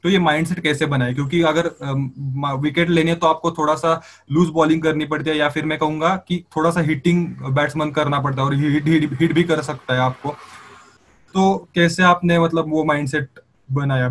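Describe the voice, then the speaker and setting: A man's voice: on the high side, steady tone, normal speed. A man with an Indian accent hosting a casual online interview, asking long questions that he rephrases as he goes. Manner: wordy, rephrases questions at length. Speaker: interviewer, channel host. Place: remote call on social media live.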